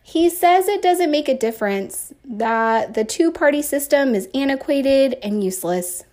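A woman's voice: high voice, lively intonation, unhurried, darkish tone. A woman voicing an earnest, exasperated teenage girl in a storybook reading, firm and matter-of-fact. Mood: indignant.

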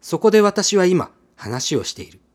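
A man's voice: high-pitched, very animated, normal speed, a little mellow. A man narrating a radio drama in the first person, telling it expressively as a scripted story.

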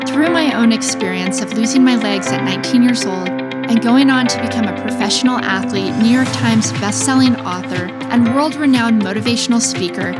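A woman, the podcast's host, delivering a polished, scripted inspirational intro. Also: a little high. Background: music.

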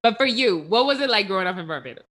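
Bright-voiced woman host. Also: mid-range voice, fast talker. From the Bahamas. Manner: affirming, lively questioner. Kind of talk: faith interview chat.

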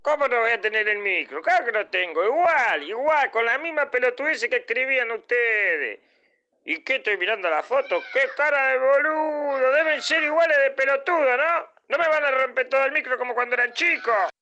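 A man's voice: very high-pitched, expressive, normal speed, bright, forceful tone, highs cut off, exaggerated voice. A man voicing a grumpy, bewildered, very old Argentine bus driver in a comic radio drama, muttering and repeating himself bluntly. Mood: grumpy, mocking. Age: older.

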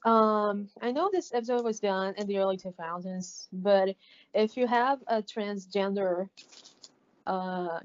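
A woman's voice: mid-range voice, a little mellow. Brazilian portuguese lady recapping episodes, lots of ums and restarts, kinda dull lo-fi sound. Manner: halting, many fillers and restarts, laughs often. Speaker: podcast co-host. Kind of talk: casual recap chat. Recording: slightly dull lo-fi audio.

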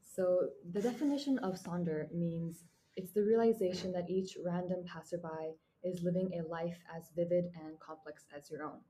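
A young woman speaking as a guest on a student podcast in a soft-spoken, earnest manner. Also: lowish voice, normal speed, poor audio. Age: young adult. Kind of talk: casual podcast chat.

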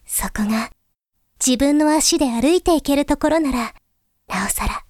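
A woman playing a gentle, thoughtful young princess, speaking formally, softly and earnestly in a Japanese voice drama monologue. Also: high voice, moderate pace, no echo, studio quality, natural voice. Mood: gentle, confiding. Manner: formal, soft-spoken, earnest.

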